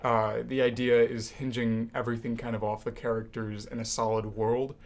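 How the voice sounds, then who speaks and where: A young man co-hosting a student podcast, talking casually about movies with animated, hyperbolic reactions. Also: medium pitch, normal speed. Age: young adult. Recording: noticeable background room noise.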